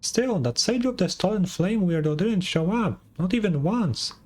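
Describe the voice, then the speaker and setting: A man voicing a small, excitable game companion, anxious and caring, with questioning, exclamatory delivery. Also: sits high, moderate pace, voice pushed up. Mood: relieved, musing.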